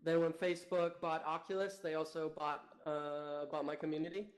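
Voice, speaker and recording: A young man from Canada, guesting on a talk show by remote link, chatty and quick to laugh. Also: a little high, little pitch movement. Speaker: guest, company founder. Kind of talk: casual interview chat. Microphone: remote call.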